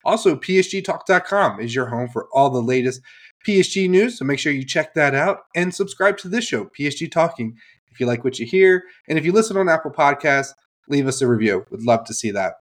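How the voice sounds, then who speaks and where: A man with a mid-range voice hosts a sports podcast in a relaxed, chatty way. He sounds a little nasal from a lingering cold. His delivery is upbeat and he laughs easily. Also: medium pitch. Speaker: podcast host. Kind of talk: casual sports podcast chat.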